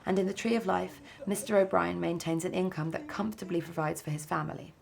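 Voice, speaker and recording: A woman's voice: fairly low pitch, fairly even intonation, fast talker, creaky. A woman reading a scripted video essay as its narrator.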